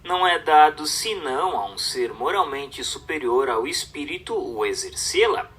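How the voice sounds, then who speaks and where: A man with a Brazilian accent reading a religious treatise aloud as a narrator, in a formal, measured, didactic way. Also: on the high side, animated, a bit bright.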